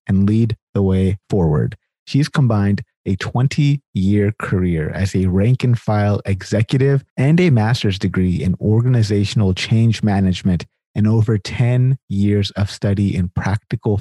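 A man's voice: lowish voice, mellow, breathy edge, no room sound. A man hosting an interview podcast, talking in an enthusiastic, casual way with frequent restarts. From Canada. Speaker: podcast host.

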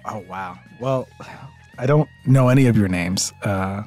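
A man co-hosting a comedy podcast, playful and quick to laugh. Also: fairly low pitch, soft background music. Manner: playful, animated, laughs often. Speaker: podcast co-host.

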